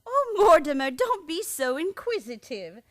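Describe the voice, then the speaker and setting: A sweet, prim elderly aunt played by a woman in a radio comedy. Her voice sits very high and swings widely in melody. She scolds gently and treats dreadful things as ordinary household matters. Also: sing-song, a little slow, heavily acted. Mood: prim, dismissive. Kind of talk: stage comedy radio play acting.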